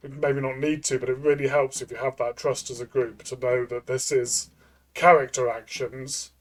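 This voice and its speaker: Thoughtful, halting man reviewing games. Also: medium pitch, flat delivery. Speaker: co-host of a tabletop game review show. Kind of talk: casual discussion and review.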